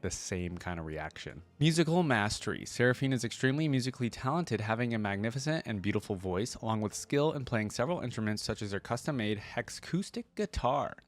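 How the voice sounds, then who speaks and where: Male reaction host, lowish pitch, dry sound; reads aloud with loose, conversational asides. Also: dry recording. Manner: conversational, thinks aloud with check-in questions. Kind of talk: casual reading aloud with off-the-cuff commentary.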